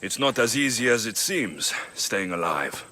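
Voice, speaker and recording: A man's voice: on the low side, sing-song, unhurried, bright, forceful tone, slight background noise, exaggerated voice. A man playing a solemn young Greek warrior and protective brother in a cinematic video game, earnest and restrained. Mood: calm.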